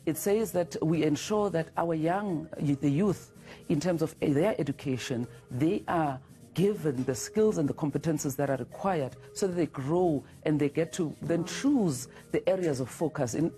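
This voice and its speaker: Middle-aged woman CEO guest, dark mellow tone, slow halting answers, lapel mic in a TV studio. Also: low voice, soft background music. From South Africa. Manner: measured, halting with fillers and restarts. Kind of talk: TV morning show interview. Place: TV studio set.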